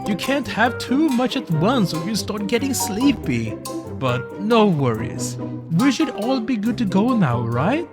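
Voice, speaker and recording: A woman plays an affectionate, unbothered dog husband from a furry visual novel. The voice is mid-pitched and lively in melody but takes its time, soothing and coaxing in a casual way. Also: unhurried, music in the background. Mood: teasing. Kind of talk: visual novel character acting.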